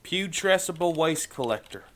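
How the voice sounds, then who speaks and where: An actor playing a plain-spoken, blunt working-class sewage worker in an audio drama, sometimes hesitant. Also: male voice, a little high, lively intonation, normal speed, fairly bright tone. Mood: nervous.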